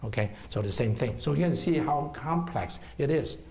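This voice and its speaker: A man's voice: mid-range voice, lively intonation, moderate pace, darkish tone, slight background noise. A middle-aged man with a Hong Kong accent, a herbal doctor giving a seminar talk and explaining to the audience, checking in with "okay?". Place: seminar room.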